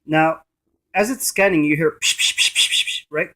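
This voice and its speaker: A man's voice: fairly high pitch, normal speed, bright, forceful tone. A man giving a casual, emphatic and repetitive hands-on gadget demonstration. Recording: noisy room with device static. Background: radio scanning static.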